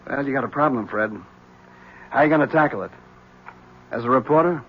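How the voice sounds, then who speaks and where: An actor playing a breezy police lieutenant in a 1970s radio drama, colloquial, teasing and probing. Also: male voice, medium pitch, fairly quick, lightly acted. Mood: needling. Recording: dull, hissy old radio recording.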